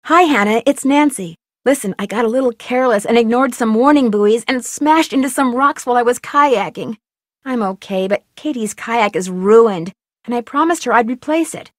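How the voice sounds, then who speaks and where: A woman's voice: a little high, normal speed, dry recording, studio quality, natural voice. An actress voicing a curious teenage girl detective, delivering upbeat, playful quips in video game voice lines. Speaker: game protagonist.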